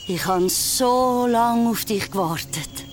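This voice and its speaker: A woman's voice: on the high side, takes it slowly, a little hiss or hum. An actress playing a dead grandmother's apparition in a radio drama, tender yet eerily insinuating and coaxing. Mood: tender, welcoming.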